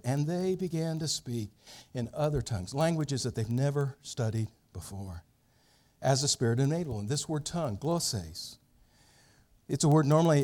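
A middle-aged male pastor preaching an expository sermon, telling the story dramatically with big swings in loudness. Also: mid-range voice.

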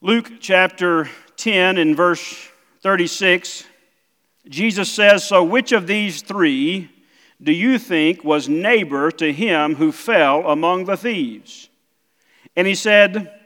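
Middle-aged South Mississippi preacher, halting delivery, slightly high voice, reverberant sanctuary. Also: male voice, expressive, fairly bright tone. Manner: halting, many fillers. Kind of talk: sermon. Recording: church hall reverb.

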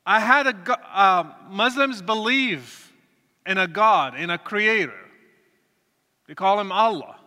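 A man from Morocco, giving an earnest, deliberate testimony to an audience, with pauses and restarts. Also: high voice, bright, forceful tone. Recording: reverberant hall.

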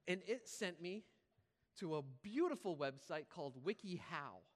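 A man's voice: high voice, animated, moderate pace. A man preaching a conversational, informal sermon as a pastor, repeating key phrases for emphasis. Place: large reverberant room, preaching live to congregation. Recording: hall reverb, live preaching.

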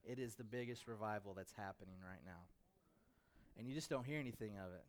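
An American man, a pastor, speaking informally to his church about a mission trip in an animated, conversational storytelling way. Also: on the low side, a little fast.

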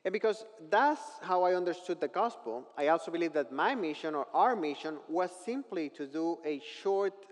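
Preacher, male, Mexican accent, native Spanish speaker, slightly high voice, measured and earnest explaining, long hall reverb. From northern Mexico. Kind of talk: sermon with scripture reading.

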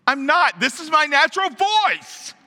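A man preaching a sermon to his congregation, naturally loud and emphatic, often asking them questions. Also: very high-pitched, sing-song, measured pace. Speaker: pastor. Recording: long reverb of a large hall.

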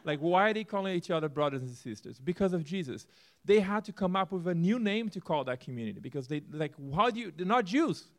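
A man from Brazil with a Brazilian Portuguese accent, presenting his church-plant vision to a congregation with earnest, animated talk and some self-deprecating humor. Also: sits high, fast.

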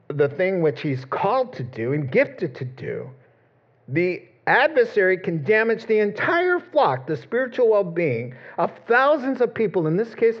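A man's voice: high-pitched, highs cut off. A man preaching a sermon as a pastor, conversational and joking, acting out the voices of people he quotes.

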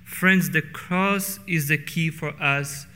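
A middle-aged Brazilian pastor preaches in English with a Portuguese accent, drawing out key words for weight. His voice is bright and sits a little high for a man. It rings out with the long reverb of a church hall. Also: clear, resonant tone. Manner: earnest, slows down for emphasis. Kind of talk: sermon. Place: church sanctuary.

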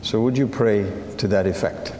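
A man whose first language is Assyrian, preaching a sermon in a measured, unhurried way and inviting listeners to read along. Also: on the low side, a little mellow, slight background noise. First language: Assyrian (Syriac). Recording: echoey hall with long reverb and faint ambience.

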